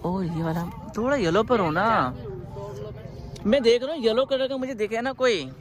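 A man's voice: sits high, normal speed. A young man vlogging outdoors in an orchard, casual and enthusiastic, mixing in English words. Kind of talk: casual travel vlog.

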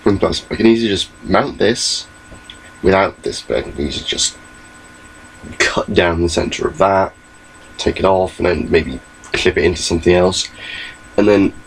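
A young man with a very low, gravelly voice rambles through a casual update from his bedroom. His delivery is lively and full of swings in pitch. A laptop mic catches a faint hum behind him. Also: unhurried, pauses often, poor audio. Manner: rambling, chatty, gestures a lot. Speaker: vlogger. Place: small bedroom, indoors. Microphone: laptop webcam mic.

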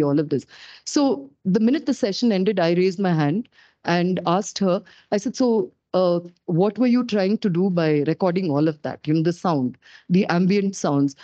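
A middle-aged woman with a low-pitched voice gives an animated, self-deprecating public lecture into a podium microphone. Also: dry recording, dull audio. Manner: animated, self-deprecating, gestures while talking. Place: dark auditorium stage.

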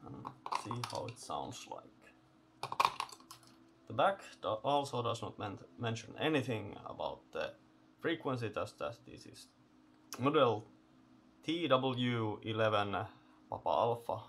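A man giving unscripted hands-on commentary as he takes electronics apart, halting and thinking aloud in short phrases. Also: medium pitch, heavy background noise. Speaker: host of electronics teardown videos. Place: indoor electronics workbench.

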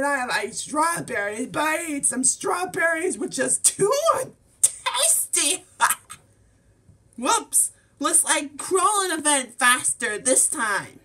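A woman's voice: sits high, sharp tone. A woman performing home-made character lines in an exaggerated, theatrical way, recorded on a distant microphone. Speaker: amateur video creator voicing toy characters. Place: indoor room at a desk.

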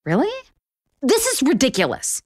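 A reluctant love expert in an audio comedy. She sounds sardonic and incredulous, with very lively pitch. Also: moderate pace, husky, heavily acted. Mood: exasperated, sarcastic. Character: exasperated, sarcastic woman author, reluctant love expert. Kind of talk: audio drama comedy acting.

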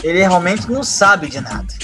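An actor playing a calm, scheming young man in an audio drama, with a knowing, casual manner. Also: sits high, normal speed, over music. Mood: smug.

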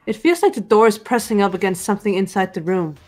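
A woman's voice: mid-range voice, fast, lightly acted. Woman playing a composed, commanding teenage girl detective. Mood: decisive. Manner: calm, matter-of-fact, commanding. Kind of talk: visual novel dub dialogue. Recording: background game music.

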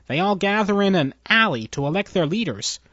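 A man's voice: sits high, animated, brisk, a bit bright, dry recording, muffled recording, voice pushed up. A man voicing a nervous, deferential alien chief technologist in a science fiction audio story, speaking hesitantly. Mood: nervous, explaining.

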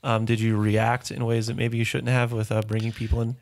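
A male host guides a business interview in a studio, with his voice in a mid range. He asks questions in a relaxed, conversational way. He laughs often and answers the guest with short affirming words. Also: medium pitch, a little fast. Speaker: podcast host. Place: dark studio set. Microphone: studio boom mic.

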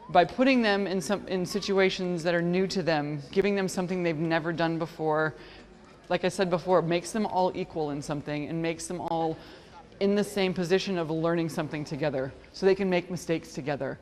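A woman giving an interview about teaching children, speaking in a halting, thoughtful way. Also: on the low side, darkish tone, long reverb tail.

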